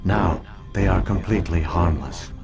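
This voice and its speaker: A man playing a calm, ancient alien artificial intelligence in a video game, explaining things in a measured, formal and patient way. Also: low-pitched, over music. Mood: analytical, measured. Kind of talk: scripted video game exposition.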